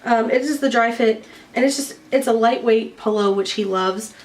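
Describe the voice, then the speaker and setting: A woman with a somewhat high voice talks casually to her viewers, cheerful and rambling with plenty of ums. Her nose is stuffy from a recent bronchitis. She sits a little back from the microphone. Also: moderate pace, recorded from a distance. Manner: chatty, lots of ums, cheerful. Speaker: vlogger showing a shopping haul. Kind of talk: casual chat to camera.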